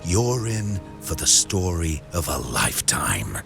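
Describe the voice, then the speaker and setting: An actor playing a theatrical storyteller in a video game, gathering listeners with a dramatic, hushed, teasing delivery. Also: male voice, deep voice, sing-song, takes it slowly, gravelly, over music, exaggerated voice. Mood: mysterious. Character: theatrical male storyteller drawing in a crowd. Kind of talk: game voice acting.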